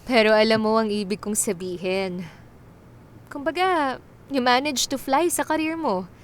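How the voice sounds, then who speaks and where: A woman acting in a Filipino radio drama as a contented former teen star turned doctor, conversational and gently teasing. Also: fairly high pitch, steady tone, unhurried, a little hiss or hum, natural voice. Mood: fond, encouraging. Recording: faint music behind the voice.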